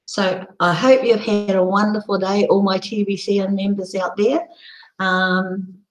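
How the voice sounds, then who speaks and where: A woman with a croaky, hoarse voice, hosting a casual live broadcast and rambling with many ums. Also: medium pitch, flat delivery, unhurried, roomy sound, low-quality recording. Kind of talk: casual live-stream chat.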